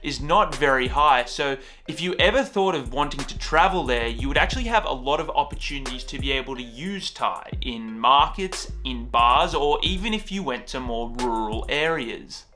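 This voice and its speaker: A man's voice: mid-range voice, animated, normal speed, few pauses, bright, forceful tone, faint music behind. A man hosting a casual explainer vlog, wry, joking and opinionated. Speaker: YouTuber.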